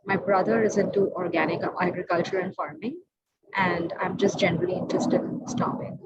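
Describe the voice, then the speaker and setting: A woman's voice: fairly low pitch, fairly even intonation, brisk. A woman from India, a design student, giving a friendly introduction on a video call. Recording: dull call audio with background noise.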